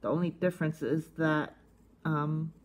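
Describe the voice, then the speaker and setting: A woman giving casual, unscripted hands-on review commentary in a halting, conversational way, thinking aloud. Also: low-pitched, fairly even intonation. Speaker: host of a cassette collecting video channel. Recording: faint background noise, casual tablet recording.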